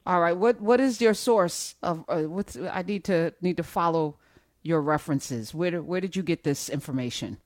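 A woman's voice: fairly low pitch, moderate pace, no room sound, studio quality. A woman hosting a call-in radio show, casually bantering and teasingly questioning callers. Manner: quizzical, teasing, pokes holes in callers' stories.

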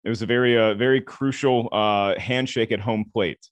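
A man with a deep voice, a film critic chatting as a podcast guest and telling relaxed stories. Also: normal speed, no room sound. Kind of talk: podcast conversation.

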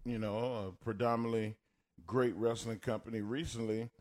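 A man's voice: medium pitch, little pitch movement, normal speed, a little mellow. A man with a Texas country accent, co-hosting a casual podcast chat and telling stories in an easygoing way. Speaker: podcast co-host.

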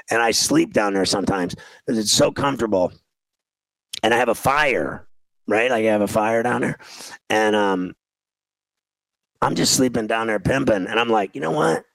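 Gravelly man in his fifties, griping casually. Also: lowish voice, fairly bright tone, dry recording, rough recording. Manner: self-deprecating, exasperated griping, slangy. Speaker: talk show host. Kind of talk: casual rambling comic rant.

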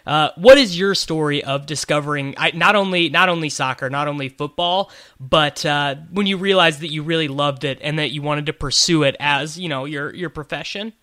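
A man's voice: a little high, normal speed, pushed and bright, no room sound, studio quality. A man hosting an interview podcast, asking eager, rambling questions about football. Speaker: podcast host. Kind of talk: casual interview.

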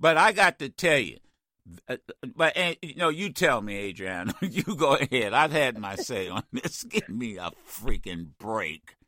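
A man hosting a talk radio show, ranting in an animated, exasperated way with many stammered restarts, his voice getting worn. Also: medium pitch, unhurried, dry recording, studio quality. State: losing his voice. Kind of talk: opinionated talk radio rant.

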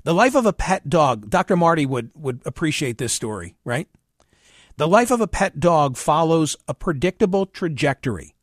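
Higher-pitched male radio host, dry studio sound. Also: sing-song, moderate pace, no room sound. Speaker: radio talk show host. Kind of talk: conversational news read-through, reading aloud and commenting.

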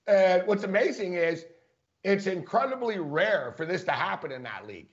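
Man, sports talk co-host, slightly high voice, casual chatter, dull lo-fi recording. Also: animated, normal speed. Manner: chatty, laughs often, many fillers and restarts. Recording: dull lo-fi sound.

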